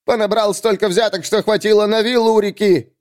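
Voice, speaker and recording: A man's voice: sits high, fairly even intonation, fairly quick, a little mellow, voice pushed up, close to an everyday voice. A man voicing a tortured, self-pitying poet in an audiobook, wailing and complaining with bitter, melodramatic indignation. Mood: shrill indignation. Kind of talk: audiobook character acting.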